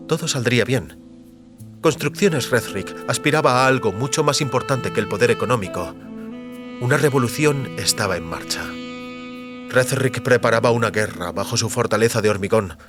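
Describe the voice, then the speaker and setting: Un hombre narra con guion un relato dramático de ciencia ficción. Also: male voice, mid-range voice. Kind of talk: scripted dramatic story narration.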